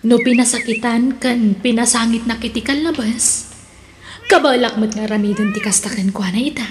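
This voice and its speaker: A woman's voice: fairly high pitch, normal speed, lightly acted. A woman playing a young, wilful daughter and lover in a radio drama, with an Ilocano accent and a noisy recording. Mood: bitter, determined.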